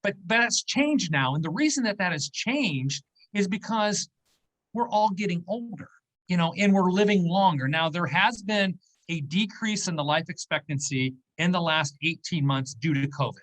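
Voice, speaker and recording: A man's voice: high-pitched, animated, normal speed, fairly bright tone, poor audio. Man in his fifties explaining things. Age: 50s. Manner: explanatory, checks in with "okay?" and "right?". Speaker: interview guest, business owner. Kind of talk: conversational interview.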